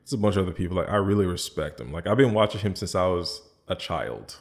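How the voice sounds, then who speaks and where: A man's voice: deep voice, animated, fast, gravelly. A man with an American accent, a podcast guest in casual chat, easygoing and rambling with many fillers and restarts. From born in the Bahamas, raised in the US.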